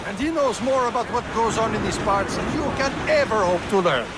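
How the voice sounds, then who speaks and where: An actor playing a haughty, sneering assassin in a video game cutscene, condescending and emphatic. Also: male voice, high voice, expressive, brisk, very noisy. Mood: scornful. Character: haughty, sneering male assassin of an 18th-century brotherhood.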